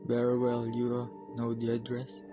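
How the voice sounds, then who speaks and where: A young man playing a calm criminal accomplice in a radio play, controlled and probing. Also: medium pitch, normal speed, lo-fi sound, music in the background, lightly acted. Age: young adult.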